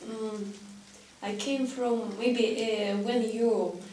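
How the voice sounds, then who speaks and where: Hesitant woman from Ukraine, slightly high. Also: fairly even intonation, drawn-out words, mellow. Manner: searches for words, hesitant. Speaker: student teacher. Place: meeting room. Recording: roomy, distant, faint hiss.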